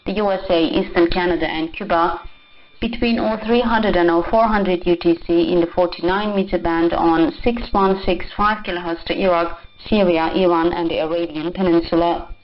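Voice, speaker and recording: A woman's voice: fairly low pitch, fairly even intonation, moderate pace. A woman radio announcer reading a formal, scripted station announcement. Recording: muffled shortwave radio broadcast with static.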